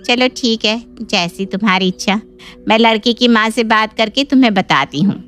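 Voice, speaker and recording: A woman plays an elder matchmaking aunt from a cartoon family story. She sounds matter-of-fact and slightly high in pitch, with music behind her. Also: moderate pace, a bit bright, clear, resonant tone, natural voice. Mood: businesslike. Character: elder female relative, plain-spoken matchmaking aunt.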